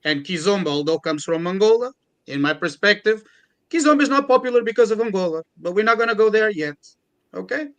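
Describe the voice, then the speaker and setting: A man's voice: high-pitched, expressive, brisk, a bit bright. A man, a DJ and event organiser, talking earnestly and reflectively in a panel discussion and often restarting phrases. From Portugal.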